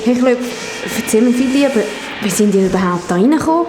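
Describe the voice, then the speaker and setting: A woman with a Swiss German accent playing a streetwise, protective girl in a children's radio play, brisk and firm. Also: a little high, expressive, background noise, lightly acted. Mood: curious. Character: streetwise, protective girl guiding a friend through labyrinth. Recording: echoey room, loud music behind.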